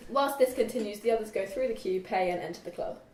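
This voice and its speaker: A woman's voice: mid-range voice, fast, a little mellow. A woman narrating script stage directions at an informal table read. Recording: echoey room, distant mic, faint noise.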